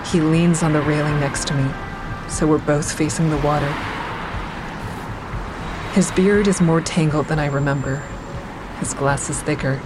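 An actress playing a bitter, grieving mother who is cold and unforgiving toward her ex-husband, in an audio drama with intense, deliberate delivery. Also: sits low. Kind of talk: full-cast audio drama, first-person narration and dialogue. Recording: clearly noisy outdoor traffic ambience.

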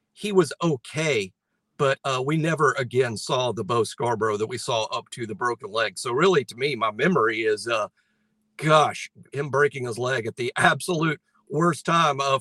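Dry bright-toned male sports analyst. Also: medium pitch, no echo. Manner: measured, analytical, with frequent restarts. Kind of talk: casual sports talk.

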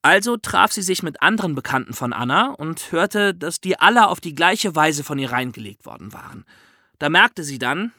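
A man's voice: fairly high pitch, sing-song, moderate pace, bright, forceful tone, no room sound, clean studio sound. A man with a German accent telling true-crime stories conversationally as a podcast host, with a wry, ironic touch.

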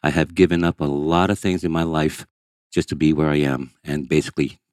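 A middle-aged man, born in Hong Kong and raised in Brazil, speaking earnestly as a guest in an interview, with emphatic, halting restarts. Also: a bass voice, fairly even intonation, a little mellow, no room sound, studio quality. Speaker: guest angler. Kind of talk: candid interview storytelling.

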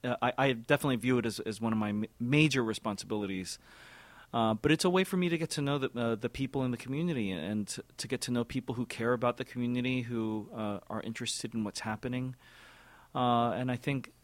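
A man in his forties, speaking at a middle pitch, gives careful, measured interview answers as an orchestra's music director. He often stops and restarts, repeating small words and filling gaps. The sound is close and dry, with no room echo. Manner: thoughtful, halting, many repeated words and fillers.

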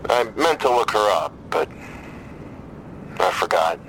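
A man acting in an audio drama as a troubled, despairing caller, speaking in a halting, brooding way with stammers and trailing phrases. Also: fairly low pitch, lively intonation, a bit bright, a little hiss or hum, rough recording. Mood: hesitant, apologetic. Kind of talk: full-cast audio drama dialogue.

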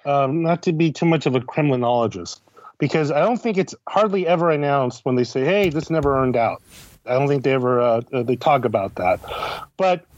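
Mellow male podcast guest, slightly dull sound. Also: medium pitch, fairly quick, dry recording. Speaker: podcast guest, critic and editor. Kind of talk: conversational interview commentary.

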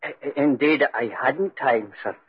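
A man's voice: medium pitch, unhurried, husky. A man playing an aggrieved, stubborn country piper who tells his ghostly tale in 1940s radio style. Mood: anxious, pleading. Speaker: actor and narrator in radio drama. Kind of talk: 1940s radio acting, first-person storytelling. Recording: roomy, muffled 1940s radio recording.

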